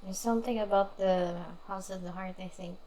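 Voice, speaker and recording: A woman's voice: mid-range voice, steady tone, a little fast, a crowd in the background, rough recording. A woman streaming a game, giving casual, offhand live reactions. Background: video game audio.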